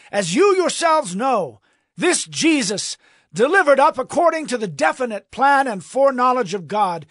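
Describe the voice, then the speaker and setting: An actor playing Peter, a bold, fervent apostle addressing a crowd in a declamatory raised voice in a scripture audio drama. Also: male voice, very high-pitched, fairly bright tone, dry recording, exaggerated voice.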